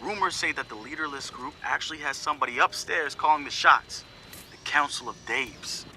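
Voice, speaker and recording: Young hacker boss doing game audio logs, confident n wry, super punchy bright voice, casual slang, bit of background noise. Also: male voice, a little high, fairly quick. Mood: determined. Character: confident young male hacker activist leader, wry and passionate. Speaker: hacker group leader narrating audio logs. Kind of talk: casual scripted audio log monologue.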